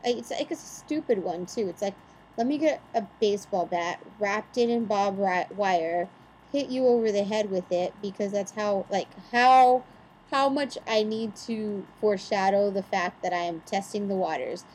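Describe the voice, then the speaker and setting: A woman's voice: medium pitch, moderate pace, a little mellow, poor audio. A woman co-host who talks casually and argues her case with rhetorical questions. Her voice sits in the middle of the range. A faint background hum runs under her speech. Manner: opinionated, builds arguments with rhetorical questions. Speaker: podcast co-host. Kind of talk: casual chat.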